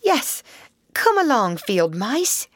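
Woman as an excitable, high-pitched storybook mole. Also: slow, no echo, clean studio sound, exaggerated voice. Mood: eager. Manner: excitable, earnest. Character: eager, emotional male mole, easily upset.

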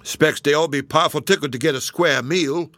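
A man's voice: fairly high pitch, a little fast, fairly bright tone, no echo, voice pushed up. A male narrator plays a fretful household cook, a woman with a folksy Southern US accent. The voice is lifted well above his normal talk and drops sounds in thick dialect. It is a storybook character, recorded dry.

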